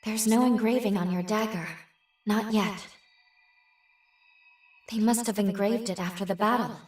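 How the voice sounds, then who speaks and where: A woman voicing a thoughtful, analytical researcher who reasons aloud in a measured way in a game cutscene. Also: mid-range voice, steady tone, a little mellow, close to an everyday voice. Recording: roomy, slightly distant, light music.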